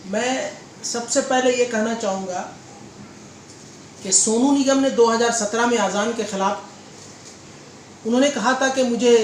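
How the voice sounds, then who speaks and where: A man giving political interview answers in a deliberate, oratorical way, repeating phrases. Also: extremely high pitch. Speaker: political leader being interviewed. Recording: echoey room with faint background noise.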